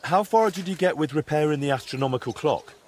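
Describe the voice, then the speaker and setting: A young blacksmith in a game drama. His voice is slightly rough and very varied in pitch. He comes across as earnest, plain-spoken and eager to help. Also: lively intonation, fast talker, a little mellow. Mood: curious, earnest. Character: helpful, inquisitive young male blacksmith, earnest and friendly.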